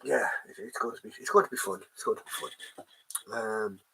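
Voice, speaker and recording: A man's voice: lowish voice, steady tone, fairly quick, a bit bright, a little hiss or hum, low-quality recording. An Irish man with a stuffy nose from a head cold, hosting a casual live chat from home, rambling with plenty of ums and greeting viewers by name. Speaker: livestream host, collector.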